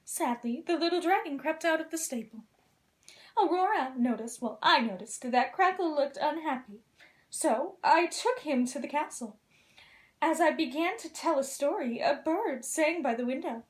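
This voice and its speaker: Woman, high voice, princess storyteller, gentle, theatrical, expressive children's read-aloud. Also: normal speed.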